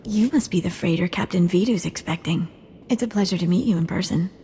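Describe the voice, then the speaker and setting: Actress as mellow, friendly, anxious underworld hostess. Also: female voice, on the low side, brisk, lightly acted. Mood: friendly, worried. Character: friendly, anxious woman working for an underworld boss. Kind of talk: video game character dialogue. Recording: reverberant hall sound under music.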